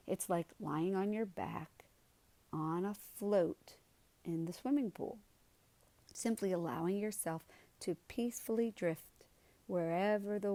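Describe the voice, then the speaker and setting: A woman leading a guided meditation in a soothing, unhurried way, with soft, gentle delivery and pauses. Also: fairly low pitch, dry recording.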